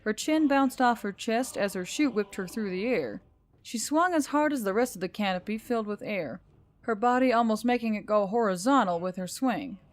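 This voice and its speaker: A woman's voice: on the high side, expressive, moderate pace. A woman reading scripted fiction as a storyteller, in a dramatic narrating style.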